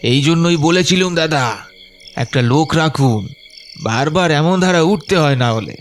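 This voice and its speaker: A man's voice: a little high, animated, measured pace, faint music behind. An actor playing a greedy, resentful village man in an audio horror story, complaining and wheedling in a folksy way. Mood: nagging. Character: grumbling, greedy, resentful village man, fawning on his elder.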